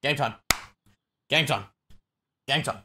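A young adult man chatting excitedly on a gaming livestream, tossing out short repeated catchphrases. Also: medium pitch, slow. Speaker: game streamer.

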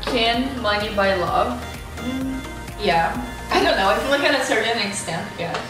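A young woman talks casually as a guest in a vlog. Her voice sits on the high side. The room echoes heavily around her and music plays behind. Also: animated, moderate pace, recorded from a distance. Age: 20s. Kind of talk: casual chat while eating. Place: indoor room at a table. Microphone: camera a few feet away.

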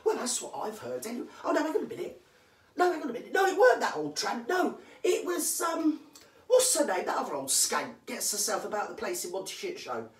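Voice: huge pitch swings, a little fast. Gossipy London voice in echoey room. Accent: London English. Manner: gossipy, conspiratorial, answering own questions; drops to hushed asides, jumps to emphatic high yeses. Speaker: gossip vlogger. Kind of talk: comic celebrity gossip monologue. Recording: echoey room, voice far from mic.

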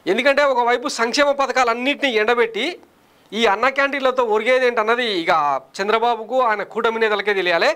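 A man in his thirties delivers scripted political commentary from a studio, his voice pitched high above most men. He speaks quickly with a sarcastic, combative edge, piling up rhetorical questions. The sound is close and clean from a lapel mic. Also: bright, forceful tone, smooth. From Andhra Pradesh, India. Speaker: political news presenter. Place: studio in front of a large screen.

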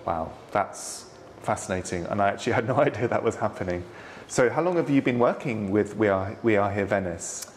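A man hosting a relaxed sit-down interview, prompting his guest with curious questions and eager affirmations. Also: lowish voice, brisk, few pauses. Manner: encouraging, frequent affirming interjections. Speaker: interview host. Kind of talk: conversational interview. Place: hotel lounge, seated on a sofa indoors.

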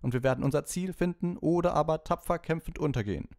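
A man's voice: on the high side, quick pace, a little mellow, no echo, studio quality, voice pushed up, close to an everyday voice. A man voicing a bombastic, gallant painted knight for an audiobook, proclaiming pompously in antique phrases. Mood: eager, rallying. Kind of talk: audiobook character voice.

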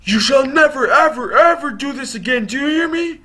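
A man playing a stern father scolding his sons in a raised, high voice. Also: animated, normal speed, sharp tone, exaggerated voice.

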